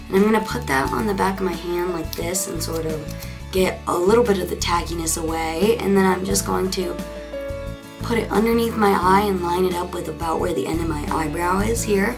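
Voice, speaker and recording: A young woman in her late teens talks through a makeup tutorial in a low, gravelly voice that is raspy and congested from a cold. She chats casually with plenty of fillers. Clear music plays behind her. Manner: chatty, with many fillers. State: hoarse and congested. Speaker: beauty vlogger.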